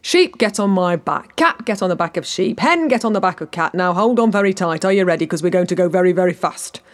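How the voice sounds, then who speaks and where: A woman's voice: lowish voice, animated, close to an everyday voice. A woman voicing a practical, bossy golden cow who gives orders to the other animals in a storybook tale. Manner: brisk, commanding, matter-of-fact.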